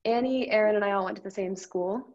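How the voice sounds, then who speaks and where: A young American woman in her early twenties chats as a guest, telling stories informally. She has a mid-pitched voice on a slightly dull recording. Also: fast, a little mellow. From Iowa and Ohio, United States. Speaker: interview guest. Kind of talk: casual storytelling. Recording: dull lo-fi audio.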